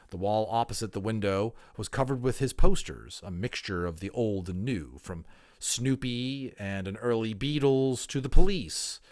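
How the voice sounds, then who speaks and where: A man reading a storybook aloud as a dramatic narrator, expressive and emphatic, in an informal style. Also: lowish voice. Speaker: audiobook reader.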